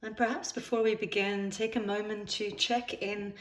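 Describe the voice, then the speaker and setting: A woman with a mid-pitched voice leads a guided meditation. She speaks soothingly in unhurried phrases, leaving pauses between them. The sound is noticeably echoey, as in a reverberant room. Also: level tone. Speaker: yoga nidra instructor. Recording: roomy, echoing sound.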